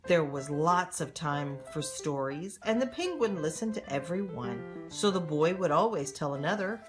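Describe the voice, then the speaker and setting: Older female storyteller, grandmother type, slightly low register, highly varied intonation, simple direct delivery for young children. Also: animated, moderate pace, music in the background. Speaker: grandmother reading aloud to grandchildren. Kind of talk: expressive children's storybook read-aloud.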